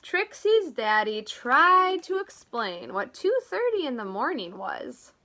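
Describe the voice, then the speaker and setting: Animated, high-voiced woman reading to preschoolers. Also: takes it slowly. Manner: expressive, gasps and asides, simple explanations to children. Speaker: preschool teacher reading a picture book aloud. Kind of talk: animated read-aloud storytelling for small children.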